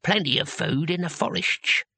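A man's voice: fairly high pitch, moderate pace, sharp tone, slightly rough, no echo, voice pushed up, full character voice. A man voicing a cowardly, cynical wizard in a fantasy audiobook, with a dry, weary, sardonic manner. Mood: wry.

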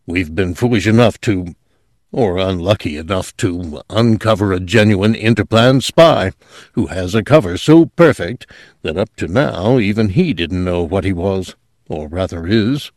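A man's voice: low voice, lively intonation, measured pace, darkish tone, no echo, studio quality. A man voicing a pragmatic, cautious male company boss in an audiobook, speaking in a firm managerial way. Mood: wary, resigned.

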